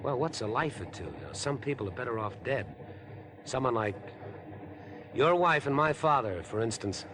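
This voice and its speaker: Casting: male actor as ingratiating, obsessive schemer, very lively intonation, vintage radio thriller style. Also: mid-range voice, normal speed, a crowd in the background, close to an everyday voice. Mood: eager, scheming. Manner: insinuating, overfriendly, wheedling. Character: chatty, ingratiating, obsessive murder-plotting man on a train. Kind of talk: 1950s radio drama acting. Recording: vintage 1950s radio broadcast.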